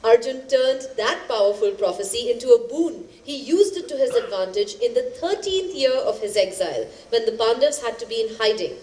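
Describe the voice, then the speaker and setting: An actress playing a spirited storyteller who narrates epic tales in a dramatic, expressive theatrical style. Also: female voice, a little high, brisk, few pauses, lightly acted. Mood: engaged. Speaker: stage actor in a two-hander play. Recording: echoey stage, faint background noise.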